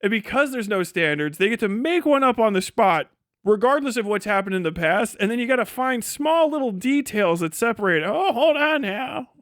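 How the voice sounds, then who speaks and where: A man's voice: sits high, animated, moderate pace, a bit bright, no echo, studio quality. A man doing casual livestream commentary, ranting in a profane, sarcastic and exasperated way. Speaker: livestream host reacting to other streamers.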